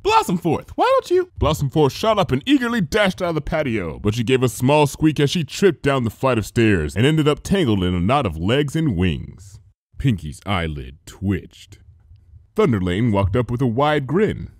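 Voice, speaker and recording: A man's voice: medium pitch, big pitch swings, moderate pace, a little mellow, dry recording, studio quality. A man reading fan fiction aloud as a dramatic narrator. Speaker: fanfiction reader. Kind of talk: dramatic scripted story narration.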